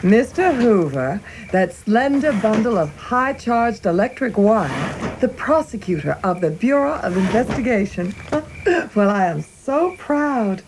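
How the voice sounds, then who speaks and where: A high, lively-pitched mother played by a woman in a radio play. She is doting, genteel and fussing, with clear background noise. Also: unhurried. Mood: proud, doting. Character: doting, proper, fretful mother of grown son. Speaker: actor. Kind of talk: radio drama acting.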